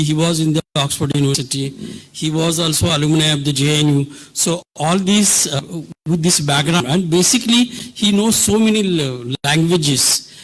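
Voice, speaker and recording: A man moderating a conference session, introducing a speaker in a halting way with many fillers. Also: on the high side, poor audio. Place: conference hall.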